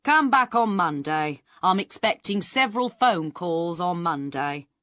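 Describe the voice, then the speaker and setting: A woman's voice: fairly low pitch, expressive, measured pace, a bit bright, no echo. A woman voicing a showy, self-satisfied male theatrical agent addressing a crowd. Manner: grand, showy.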